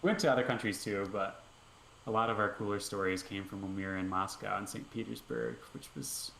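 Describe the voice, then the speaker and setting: A man's voice: fairly low pitch, fairly even intonation, fairly quick, gravelly, fairly bright tone. A man co-hosting a podcast, chatting casually and upbeat with lots of fillers and restarts. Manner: rambling, filler-heavy, upbeat. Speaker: podcast co-host. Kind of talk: casual chat over a call. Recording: echoey room with faint hiss.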